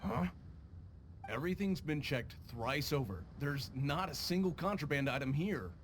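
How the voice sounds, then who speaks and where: Guy doing a by-the-book officer in a game, lively pitch, bit of background noise. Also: moderate pace, natural voice. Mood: baffled, reporting. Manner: formal, official. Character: dutiful male inspection officer. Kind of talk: scripted game dialogue.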